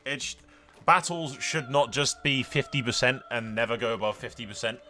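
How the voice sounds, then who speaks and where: A man's voice: medium pitch, moderate pace, bright, forceful tone. A man hosting a gaming video, rambling casually through his commentary with sweary, jokey asides to the audience. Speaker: host of a gaming video channel.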